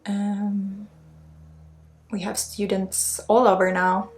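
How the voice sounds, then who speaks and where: A woman's voice: medium pitch, little pitch movement, slow pace, darkish tone, a little hiss or hum. A woman with a Swedish accent leading an unscripted ASMR spiritual healing session in a soothing, informal, reassuring way. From Sweden. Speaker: energy healer and ASMR host. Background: faint music.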